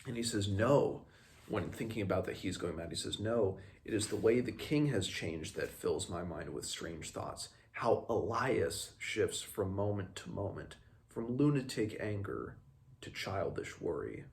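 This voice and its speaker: A man talks through a book in a halting, thoughtful way, as a read-along host. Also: fairly low pitch, expressive, gravelly, a little mellow. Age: 20s. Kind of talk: casual book commentary with passages read aloud. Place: small room at home, indoors.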